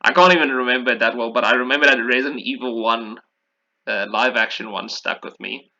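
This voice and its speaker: A man's voice: medium pitch, a little fast, pushed and bright, muffled recording. A man chatting casually and enthusiastically about video games in an informal way, as a podcast host.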